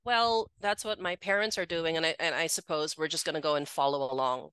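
Bright, clear-voiced woman in her forties. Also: deep voice, steady tone, a little fast, no echo. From Philippines. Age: 40s. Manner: candid, chatty, many "like" fillers, laughs easily. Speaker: podcast guest. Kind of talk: casual interview, telling her life story.

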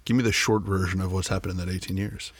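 Male host, deep and raspy, conducting a relaxed, informal interview. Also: fast. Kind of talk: casual interview chat.

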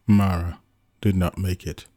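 A male narrator acts a solemn friend who brings bad news in an audiobook drama. He speaks low and carefully, with a measured, grave manner. Soft music plays behind him. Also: little pitch movement. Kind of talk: dramatic audiobook character acting.

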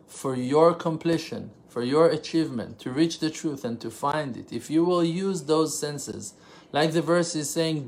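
Israeli man giving an earnest religious lesson. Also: on the high side. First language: Hebrew. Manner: earnest, emphatic, rhetorical questions; halting, pauses and self-corrections while translating. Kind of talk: spontaneous religious teaching.

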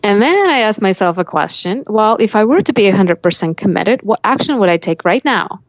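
A woman's voice: mid-range voice, animated, fairly quick, no echo, muffled recording. A woman with a Russian accent telling reflective personal stories as an interview guest, often voicing her own thoughts aloud. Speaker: life coach, podcast guest.

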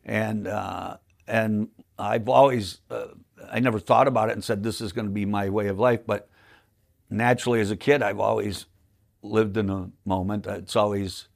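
Gravelly older man, late sixties, talkative podcast guest who rambles and laughs. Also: fairly low pitch, fairly even intonation, normal speed. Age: 60s. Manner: chatty, rambling storyteller who laughs often. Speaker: podcast guest, magician and club owner. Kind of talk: casual conversational interview.

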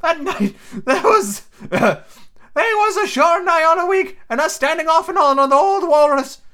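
A man voicing a half-crazed old male castaway, eager and sly, in a high, cracking old-sailor voice. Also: expressive, moderate pace, pushed and bright, exaggerated voice. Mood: boastful. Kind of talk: amateur read-aloud character voice.

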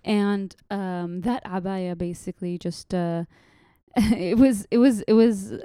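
A young woman from Saudi Arabia speaks. Her voice is mellow and smooth, and her talk is loose and chatty, full of fillers and restarts. The sound is dry and close. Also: medium pitch, steady tone, slow, dry recording. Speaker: interview guest. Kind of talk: casual interview chat.

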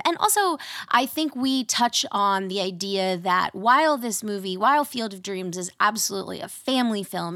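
A woman hosts a podcast in easy, rambling chat, her voice slightly raspy after an illness. She fills her talk with ums and restarts. The sound is dry and close, with no room around it. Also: medium pitch, a bit bright, no echo. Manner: chatty, many ums and restarts. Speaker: podcast host.

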